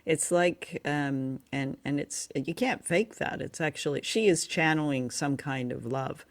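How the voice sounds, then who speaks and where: A woman's voice: sits low, measured pace. Woman co-host chatting reflectively. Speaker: podcast co-host. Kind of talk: casual film and radio discussion.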